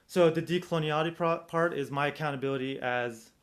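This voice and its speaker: A man's voice: fairly high pitch, quick pace. A man with an American accent giving an informal academic presentation, thinking aloud in a reflective, hedging way and posing rhetorical questions. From United States, Southern California. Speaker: presenter, domestic violence practitioner.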